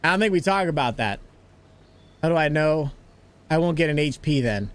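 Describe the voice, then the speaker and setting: A young man with an American accent hosts a gaming livestream, chatting loosely with viewers and a friend. His voice sits a little on the high side. He talks in a relaxed, joking way into a desk mic. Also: moderate pace, smooth. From United States. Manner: chatty, greets viewers, jokey. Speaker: livestream host. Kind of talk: casual livestream chat while gaming.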